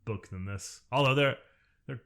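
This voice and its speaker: A man's voice: mid-range voice, normal speed, gravelly, fairly bright tone, clear, resonant tone. A man co-hosting a casual podcast, joking and mocking the film, often laughing along. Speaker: podcast co-host. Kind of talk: casual film review chat.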